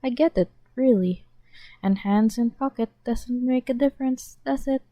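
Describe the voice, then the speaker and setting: A woman's voice: a little high, normal speed, warm tone, no echo, voice pushed up, lightly acted. A woman voicing a nervous, reluctant teenage boy who answers in a sheepish, grudging way.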